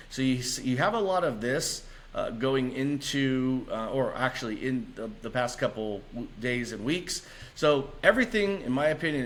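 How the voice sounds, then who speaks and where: A man's voice: mid-range voice, fairly bright tone. A man presenting informal market chart commentary as a channel host, upbeat and emphatic, repeating key words for stress. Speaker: host of a stock trading analysis channel.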